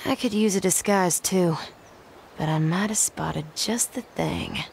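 A woman's voice: on the low side, a little slow, vocal fry, slight background noise. An actress playing a determined frontier rancher woman in a western video game, firm and wry. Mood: confident, wry. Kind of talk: dramatic video game acting. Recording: music behind the voice.

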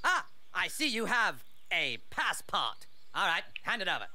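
An actor playing an officious, bombastic Canadian border guard in a comedy game, proclaiming ceremoniously. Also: male voice, high voice, very animated, unhurried, bright, forceful tone, heavily acted. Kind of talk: cartoon comedy game acting.

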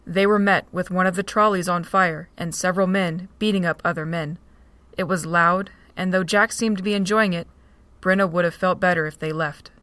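A woman with a fairly low voice reads the narration of a fan audio drama. The sound is dry and close, with almost no room around it. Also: steady tone, moderate pace, a bit bright, dry recording. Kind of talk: scripted narration.

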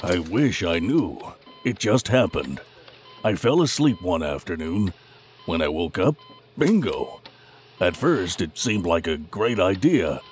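A man's voice: low voice, very animated, unhurried, soft background music. A man playing a bewildered but good-humored loan officer recovering in hospital, rueful and wry, in an audio drama. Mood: rueful, puzzled.

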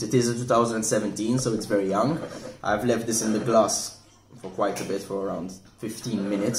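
A man in his forties, casually reviewing a wine at a table indoors, relaxed and thoughtful, often repeating words as he restarts. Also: on the low side. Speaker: wine shop manager reviewing wine. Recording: echoey room with faint noise.

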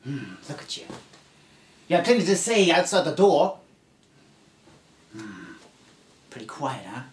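A man playing a haunted, suspicious lone male survivor in an amateur film scene, speaking in hushed, intense tones that slip into whispers. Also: on the high side, big pitch swings, unhurried, echoey room, slight background noise. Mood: menacing.

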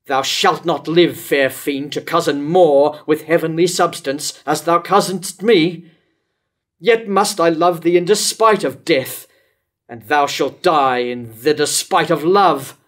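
An older man playing a jealous, wrathful, lovesick king in a staged reading of verse tragedy, declaiming with deliberate dramatic pauses. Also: on the high side, a bit bright. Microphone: microphone on stand at lectern.

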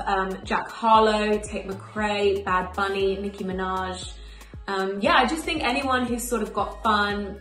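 A woman's voice: medium pitch, a bit bright, reverberant. A young woman who grew up in Spain, a singer talking to camera about her music in an upbeat, chatty way. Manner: upbeat, chatty, lists things quickly. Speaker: emerging singer interviewed. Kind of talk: casual to-camera answers. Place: small bright room indoors.

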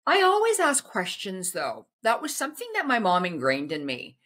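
A woman's voice: medium pitch, wildly swooping pitch, moderate pace, husky. A middle-aged woman as an interview guest on a video call, giving earnest, emphatic advice with some hesitant fillers. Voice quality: loud voice. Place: indoor home room. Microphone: video call webcam.

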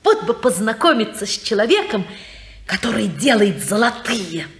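An actress playing a dreamy, playful young niece fond of romantic novels, in a 1950s radio drama. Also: female voice, on the high side, big pitch swings, normal speed. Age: young adult. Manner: playful, hums to herself. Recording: echoey old radio studio recording.

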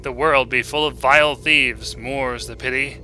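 A man's voice: medium pitch, fairly even intonation, unhurried, sharp tone, faint music behind, rough recording. A man voicing a cowering, weary ragged beggar in a storybook reading, whimpering and rustic in speech. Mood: weary, resigned.

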